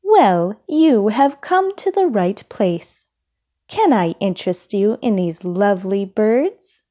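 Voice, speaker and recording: A woman's voice: medium pitch, big pitch swings, slow, mellow. A woman voicing a courteous, eager male pet shop salesperson in a storybook, with a formal, persuasive manner. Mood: helpful. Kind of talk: children's storybook character voice.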